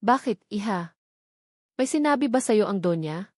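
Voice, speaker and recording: A woman reading the part of a kindly older housekeeper, soft and motherly in an audio drama. Her tone is calm and reassuring, recorded close and dry with no room sound. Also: medium pitch, moderate pace, no echo, lightly acted. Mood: gentle.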